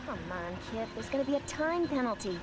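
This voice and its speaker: A woman voicing a concerned, down-to-earth teenage classmate in an animated series. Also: on the high side, fairly quick, lightly acted, rough recording. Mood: teasing. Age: young adult. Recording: music behind the voice.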